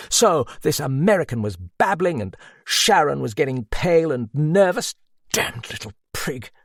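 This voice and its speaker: A woman's voice: on the low side, gravelly, slightly rough, no echo, studio quality, voice pushed up, full character voice. A man voicing a proud, desperate woman who cries out with passion, bitter and near tears, in a read-aloud story.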